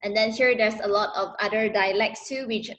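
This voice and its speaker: A young woman from Malaysia, answering interview questions over a video call, relaxed and laughing often between fillers. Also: mid-range voice, normal speed, muffled recording. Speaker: interviewee, dancer.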